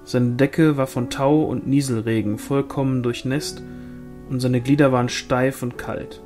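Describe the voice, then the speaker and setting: A man's voice: medium pitch, steady tone, measured pace, darkish tone. Man narrating a novel over music. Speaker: audiobook reader. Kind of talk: scripted narration, reading a fantasy novel.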